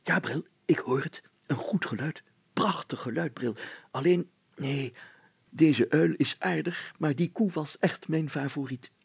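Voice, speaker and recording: A man voicing a delighted mayor in a children's audiobook, gushing in a hushed, breathy voice with childish enthusiasm. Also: fairly high pitch, unhurried, no room sound, pitched above the performer's own voice, heavily acted.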